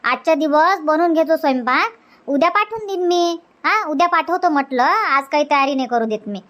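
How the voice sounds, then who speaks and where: A woman's voice: high-pitched, expressive, brisk, fairly bright tone. A woman voicing a bossy, hospitable middle-aged village aunt in a cartoon comedy, with a Varhadi Marathi accent and an insistent, repetitive way of talking. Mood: firm, scolding. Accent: Varhadi Marathi from Vidarbha.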